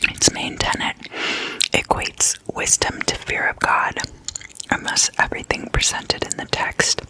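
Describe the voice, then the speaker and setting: An ASMR host speaking entirely in a whisper, explaining things casually in short, deliberate, hushed phrases. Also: poor audio.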